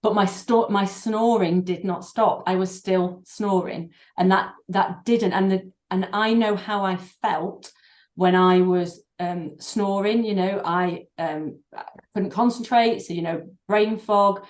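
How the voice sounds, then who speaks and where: A middle-aged woman, a doctor and breathing therapist, speaking in a podcast interview, thoughtful and halting with many fillers and restarts. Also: mid-range voice, fairly even intonation. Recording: echoey room.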